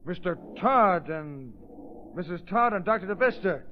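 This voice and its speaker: An actor playing a gruff, indignant ship's captain in an old radio drama, blustery and plain-spoken. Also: male voice, high-pitched, animated, moderate pace. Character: gruff, indignant steamship captain, adult man. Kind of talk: 1930s radio drama acting. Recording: dull, faintly noisy vintage radio recording.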